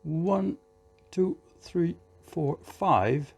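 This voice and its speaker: A man giving a hands-on electronics repair tutorial in a measured, step-by-step way, often repeating short phrases. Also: on the high side, expressive, vocal fry, a little mellow, slightly rough.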